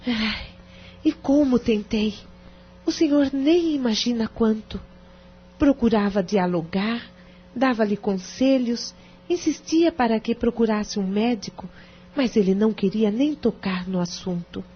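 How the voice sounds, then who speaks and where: Brazilian wife pleading sincerely, bit high and husky voice, radio drama, dull sound. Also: female voice, measured pace. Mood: earnest, pleading. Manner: formal, earnest, dramatic delivery. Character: distressed, sincere wife pleading her case to a lawyer. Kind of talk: Brazilian audio drama acting.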